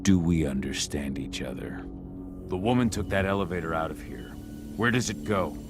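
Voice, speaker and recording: A hard-bitten saboteur from a video game, very low in pitch. He talks in blunt, clipped, intimidating phrases. Loud music plays behind his voice. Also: animated, normal speed. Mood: threatening. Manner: terse, blunt. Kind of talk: video game cutscene acting.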